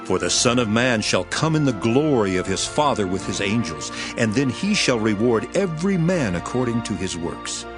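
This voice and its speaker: A solemn, authoritative master , played by a man, declaims in a scripture drama. His medium-pitched voice moves expressively up and down. Music plays behind his deliberate teaching. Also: very animated, close to an everyday voice. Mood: solemn, instructive.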